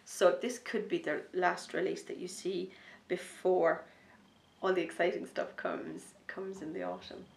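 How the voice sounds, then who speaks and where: Lady knitting podcast host, kinda low and gravelly, bit sniffly w a cold, rambly and excited. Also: measured pace, echoey room. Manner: enthusiastic, rambling, drops to a soft near-whisper when excited. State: starting a slight cold, sniffly. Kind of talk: casual chat to camera.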